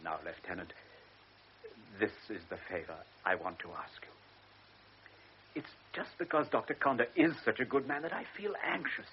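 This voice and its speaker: An actor playing a frail, anxious elderly baron who is a devoted father. He speaks earnestly, stammers and drops into hushed tones. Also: mid-range voice, big pitch swings, normal speed, long pauses, lightly acted. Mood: pleading. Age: older. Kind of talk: classic radio drama acting. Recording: lo-fi radio recording with faint hiss.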